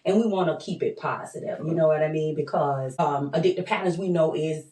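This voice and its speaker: A woman's voice: deep voice, brisk, warm tone. A woman hosting an interview, chatty and affirming, often tagging her remarks with "right?" and speaking in casual grammar. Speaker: interview host. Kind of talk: conversational interview. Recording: roomy sound, mic at a distance.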